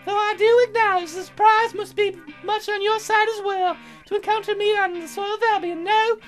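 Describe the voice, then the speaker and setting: A man voicing a courteous foreign naval officer in a very high falsetto with a put-on accent and formal, polite phrasing. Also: steady tone, normal speed, a bit bright, faint music behind. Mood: courtly.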